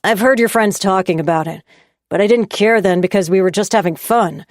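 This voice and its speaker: A woman voicing a young man, an angry and jealous boyfriend, who talks in raised, sharp and scornful lines. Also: medium pitch, brisk, no echo, clean studio sound, lightly acted.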